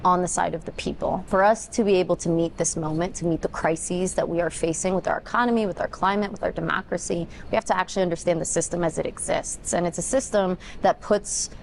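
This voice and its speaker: A woman of twenty-nine, a political candidate giving interview answers in a campaign pitch. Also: steady tone, fast talker, vocal fry, few pauses, slight background noise. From born in Ethiopia, grew up in Denver, United States.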